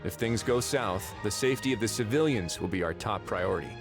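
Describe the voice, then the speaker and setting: A man voicing an affable, easygoing male official in a video game, relaxed and lightly wry. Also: fairly low pitch, a little fast, close to an everyday voice. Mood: easygoing, measured. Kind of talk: video game dialogue acting. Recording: game music behind the voice. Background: background music.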